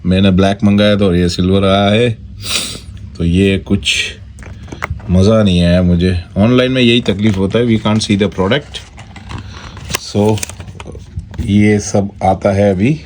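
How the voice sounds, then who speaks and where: A man with an Indian accent giving a casual, step-by-step product walkthrough in short, halting phrases. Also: fairly low pitch, fairly even intonation, darkish tone. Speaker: product reviewer and vlogger. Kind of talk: casual unboxing walkthrough, Hindi mixed with English. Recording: noticeable background noise.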